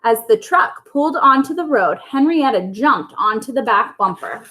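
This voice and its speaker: Higher-voiced woman reading a children's story. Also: animated, moderate pace, sharp tone, a little hiss or hum. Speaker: school storyteller. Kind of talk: children's story read-aloud.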